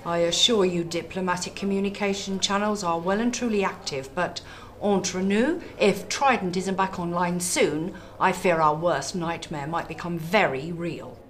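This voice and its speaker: A woman's voice: fairly low pitch, normal speed, natural voice. A woman playing a composed, grimly diplomatic government minister in a radio drama, formal and pointed. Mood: grim, dry.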